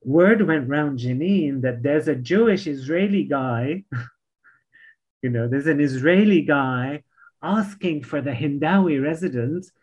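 Husky-voiced British man telling stories. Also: on the high side, slow pace, muffled recording. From Palestine. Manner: animated anecdotes, laughs often, acts out voices. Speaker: memoir author guest. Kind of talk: storytelling in an interview.

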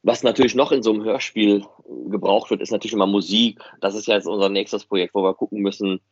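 A man's voice: fairly low pitch, fairly even intonation, quick pace, husky, dry recording. A man talks casually as a podcast host. He explains things at length in a chatty way. The sound is very dry and a little dull. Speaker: podcast host and fan audio drama director.

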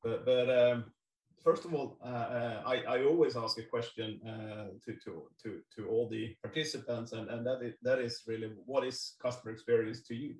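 A man's voice: mid-range voice, steady tone, moderate pace, a little mellow. A male podcast host asking questions hesitantly, with many fillers and repeated words. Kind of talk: casual interview hosting. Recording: echoey room, far from the mic.

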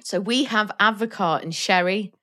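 A woman's voice: medium pitch, normal speed, sharp tone. A woman from Wales, co-hosting a podcast in chatty, self-mocking talk and laughing often. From North Wales. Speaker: podcast co-host. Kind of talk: casual podcast chat.

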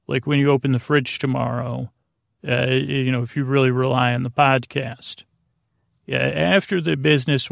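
A man in his forties with a gravelly, creaky voice at a middling pitch, recorded very dry. He hosts a bedtime podcast as a casual ramble, wandering and stumbling over his phrases, often leaving a thought half finished. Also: no echo. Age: 40s. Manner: meandering, halting, repeats and trails off mid-thought. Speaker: sleep podcast host.

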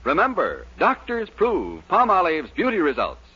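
A man delivers a vintage 1940s radio commercial with salesman's emphasis. His slightly high voice swoops dramatically and sounds forceful. The recording is dull and lo-fi. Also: wildly swooping pitch, normal speed, over loud music. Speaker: commercial announcer. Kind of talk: 1940s radio advertising read.

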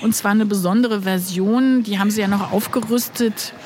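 A woman's voice: mid-range voice, fairly even intonation, moderate pace, a little mellow, slight background noise. Chatty woman in her fifties. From Berlin. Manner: chatty storyteller with many fillers. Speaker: guest, former flight attendant. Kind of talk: casual interview.

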